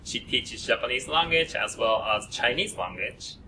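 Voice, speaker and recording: A man presents at a student orientation, pausing often and filling gaps with hesitations. His voice has a bright edge. He sits some way from the microphone, with faint noise behind. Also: medium pitch, expressive. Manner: halting, frequent uh fillers. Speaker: program coordinator at an orientation. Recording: distant mic with faint noise.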